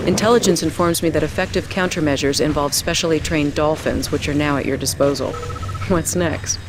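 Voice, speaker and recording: An actress playing a businesslike military intelligence officer with a wry streak in a game briefing. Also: female voice, low voice, fairly even intonation, a little fast, close to an everyday voice. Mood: wry disbelief. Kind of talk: video game cutscene briefing. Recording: very noisy game cutscene audio.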